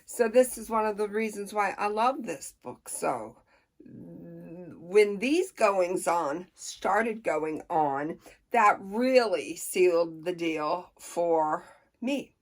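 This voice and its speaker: A middle-aged woman chatting casually to camera about books, unhurried and folksy, with a playful, expressive way of talking. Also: on the low side. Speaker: book reviewer on a personal channel.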